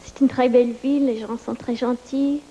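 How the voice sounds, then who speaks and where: A woman doing stand-up comedy in a second language, whose first language is English, pausing often with fillers, on a theater stage before a live audience. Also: on the high side, steady tone, warm tone, lo-fi sound, a little hiss or hum. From United States. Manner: hesitant, with many pauses and fillers.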